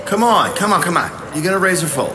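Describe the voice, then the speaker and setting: A woman's voice: on the low side, animated, fast talker, crowd noise, close to an everyday voice. A woman playing a crude, irritable kidnapper thug in an audio drama, coarse and swaggering. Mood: irritated.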